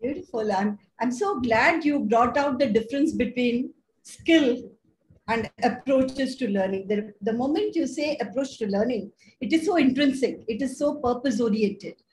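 A woman with a fairly high voice moderates an online panel, emphatic and appreciative. Her sound is roomy, with a faint hiss behind it. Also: lively intonation, normal speed, darkish tone. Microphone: video call. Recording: roomy video call with faint noise.

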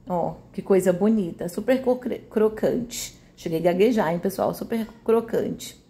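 A woman's voice: medium pitch, a little slow, warm tone. A Brazilian woman giving a casual recipe voice-over in a chatty, homely way, often adding little tag questions to check agreement. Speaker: home cooking video host.

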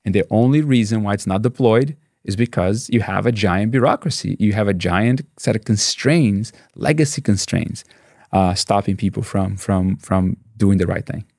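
A man in his forties, a native Portuguese speaker with a Brazilian accent, talking fast as a guest in an interview, restarting and repeating words. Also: lowish voice, darkish tone, no room sound, clean studio sound. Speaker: statistics professor, guest. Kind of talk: casual interview conversation. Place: dark podcast studio. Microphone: studio mic on boom arm, headphones.

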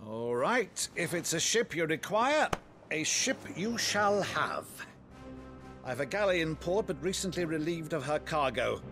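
An actor playing a theatrical older nobleman, warm and wry, with a British accent and grand old-fashioned phrasing in a video game. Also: fairly high pitch, very animated, a little slow. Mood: wry, resolved. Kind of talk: dramatic video game cutscene acting. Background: background music.

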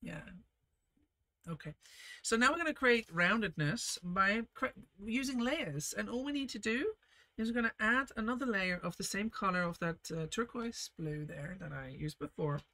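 A woman leading a casual live painting lesson, reading viewer comments and chatting warmly as she paints, often laughing softly and trailing off mid-sentence. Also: mid-range voice, expressive, moderate pace. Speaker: art teacher streaming a painting session.

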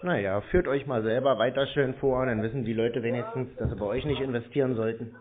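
A man's voice: medium pitch, steady tone, fairly quick, crowd noise. A man arguing unscripted on the phone in a dry, sarcastic, needling manner. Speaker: scam-baiter talking to a scam caller. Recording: narrow, tinny phone-line sound.

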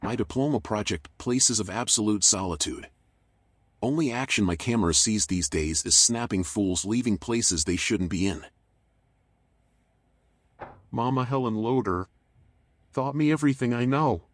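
A man voicing a world-weary raccoon private eye in a noir game, wry, sardonic and deadpan. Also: mid-range voice, unhurried, long pauses, no room sound, close to an everyday voice. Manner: dry, wry, deadpan muttering. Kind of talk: noir game voice acting, internal monologue and dialogue.

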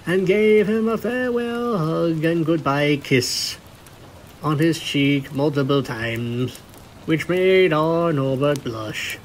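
A man's voice: a little high, slow, slight background noise, rough recording. A man reading scripted story narration as the narrator of a fan fiction tale.

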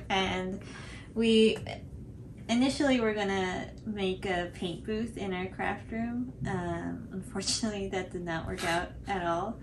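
A woman's voice: medium pitch, background noise. A woman, casually talking through a craft tutorial in informal, hesitant phrasing. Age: 20s. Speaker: cosplay tutorial host. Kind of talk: casual unscripted tutorial commentary. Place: indoor living room, seated on couch.

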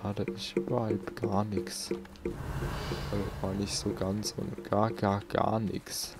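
A man's voice: on the low side, little pitch movement, slow. A man with a southern German accent giving casual, colloquial gameplay commentary, muttering to himself as he goes. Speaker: let's play host. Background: game music.

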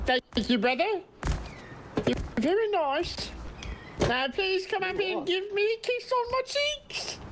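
Needy, wheedling oddball for game role-play; piercingly high, slow delivery, noisy line. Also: female voice, lively intonation, full character voice. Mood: pleading. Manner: whiny, wheedling, calls others brother. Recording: noisy game voice chat with clicks.

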